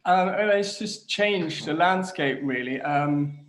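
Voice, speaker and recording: Man from Switzerland, English speaker, halting speech full of ums, home kitchen, video call. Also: a little high, a bit bright. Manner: hesitant, many fillers and restarts. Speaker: designer, interview guest. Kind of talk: casual video-call interview.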